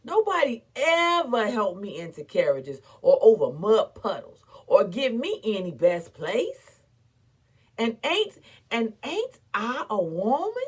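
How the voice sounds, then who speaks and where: A woman giving a dramatic, theatrical recitation as an impassioned, defiant, weary but proud orator, with emphatic repetition and long pauses. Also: on the high side, very animated, husky. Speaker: performer reciting a historical speech.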